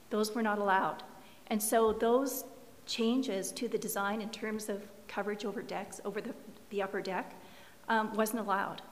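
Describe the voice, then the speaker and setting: Brief: hoarse woman applicant, earnest and explanatory, in a reverberant council chamber. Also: mid-range voice. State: losing her voice. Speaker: applicant's designer presenting to a planning commission. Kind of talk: formal public meeting presentation. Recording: reverberant meeting room, faint hiss.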